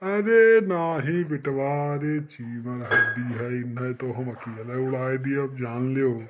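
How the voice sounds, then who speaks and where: A man's voice: mid-range voice, slow pace, dull audio, background noise, lightly acted. A man voicing a tipsy older village uncle in a comic cartoon, rambling and insisting boastfully with an Awadhi accent.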